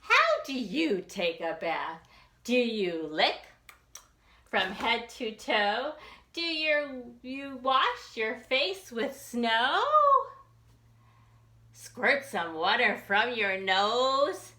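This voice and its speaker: A woman children's librarian reading a picture book aloud for young children in an expressive, sing-song questioning way. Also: on the high side, extremely slow, roomy sound. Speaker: children's librarian hosting storytime.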